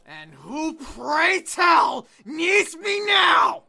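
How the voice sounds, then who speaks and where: A woman voicing a loud, pompous male bird officer with a squawking manner. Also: extremely high pitch, sing-song, very slow, vocal fry, pitched above the performer's own voice, full character voice.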